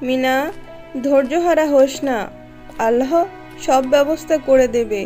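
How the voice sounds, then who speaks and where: A caring mother fox, voiced by a woman for a cartoon, high in pitch and earnest. Soft music plays behind. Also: normal speed, natural voice. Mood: consoling. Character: devout, caring mother fox, steadfast and protective. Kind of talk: children's cartoon voice acting.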